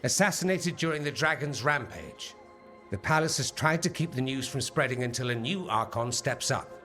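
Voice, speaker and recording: An actor playing an urbane, sardonic rebel mage-politician in a video game, eloquent and bold, with a theatrical delivery. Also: male voice, medium pitch, lively intonation, moderate pace, sharp tone. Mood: grave. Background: background music.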